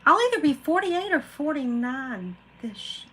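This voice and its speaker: A woman's voice: high-pitched, big pitch swings, measured pace, pushed and bright. A woman, hosting a casual live chat and rambling to viewers by name. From Florida, USA. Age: 40s. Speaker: craft channel host reading live chat.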